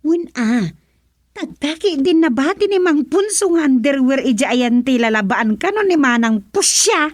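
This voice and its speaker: A woman's voice: high voice, animated, dry recording. A woman playing a gleeful village gossip in a comedic radio drama, teasing and giggly as she draws out her tales. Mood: gossipy, amused. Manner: giggly, teasing, drawn-out storytelling.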